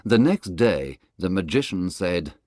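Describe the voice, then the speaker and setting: A man narrating a scripted fairy tale in a dramatic, deliberate storytelling manner. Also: sits low, sing-song, a little mellow, dry recording, clean studio sound.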